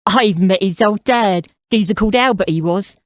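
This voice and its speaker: A woman's voice: mid-range voice, fairly quick, no room sound, studio quality, lightly acted. A woman playing a brash, cheeky young pub girl with a coarse Cockney accent in an audio drama. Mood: cheeky, chatty. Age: young adult. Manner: loud, rough, uneducated street speech.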